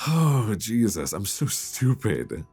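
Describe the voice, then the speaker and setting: A man's voice: on the low side, big pitch swings, takes it slowly, darkish tone, breathy edge, soft background music, exaggerated voice. A man playing a playful, easily flustered old friend in an intimate, conversational romantic audio roleplay, teasing and stammering as he chats. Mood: flustered, apologetic. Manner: teasing, stammering, chuckling banter.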